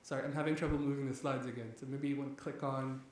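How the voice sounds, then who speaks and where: Man from Nigeria, economics lecturer, mid-pitched, chatty and animated, booming hall echo, faint hiss. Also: fast talker. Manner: energetic, talkative, with frequent fillers and restarts. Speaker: economics professor giving a guest lecture. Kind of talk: public lecture. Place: large echoey church hall. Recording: very echoey hall, faint background noise.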